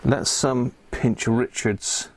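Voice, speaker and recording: A man's voice: mid-range voice, steady tone, extremely slow, vocal fry, slight background noise. A man giving casual, unscripted live commentary to himself as he works on a hobby repair stream. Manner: unscripted, muttering to himself while working.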